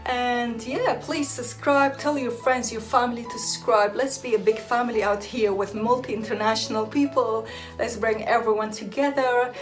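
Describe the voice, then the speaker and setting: A woman's voice: a little high, steady tone, moderate pace, faint music behind. A young woman from Iraq whose first language is Aramaic, chatting casually to camera as a hair and beauty vlogger, laughing often and restarting her sentences.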